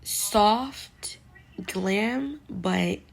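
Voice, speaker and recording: A woman's voice: medium pitch, extremely slow, vocal fry. A young adult woman with a New York accent, chatting casually and directly to her viewers in an advice vlog, with lots of "like" fillers. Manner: chatty, speaks straight to viewers, many "like" fillers. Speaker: vlogger.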